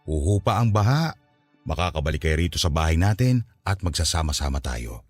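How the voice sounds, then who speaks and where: A man's voice: rumbling low, sing-song, fairly quick, no room sound, faint music behind, heavily acted. A man playing a patient, hopeful middle-aged father in a Filipino radio drama, giving earnest advice. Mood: hopeful, resolute.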